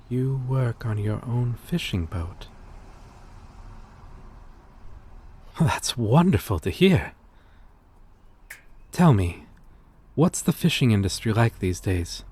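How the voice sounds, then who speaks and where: A man playing a courteous, lonely vampire in an ASMR roleplay, speaking softly and formally with hesitant pauses. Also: on the low side. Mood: polite, curious. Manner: soft-spoken, formal, polite, hesitant pauses. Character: gentle, courteous old-souled male vampire, lonely former fisherman. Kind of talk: ASMR roleplay audio drama.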